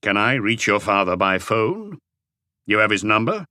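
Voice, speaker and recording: A man voicing a calm, terse police inspector who questions in a measured, probing way for an audiobook. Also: on the low side, no echo, clean studio sound.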